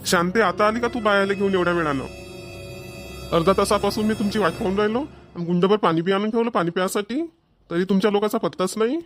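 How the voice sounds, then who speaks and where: An impatient village farm boss in a cartoon comedy, played by a man, scolding and urgent. The voice is raised high and loud for a man, with a Varhadi Marathi accent. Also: fast, faint music behind.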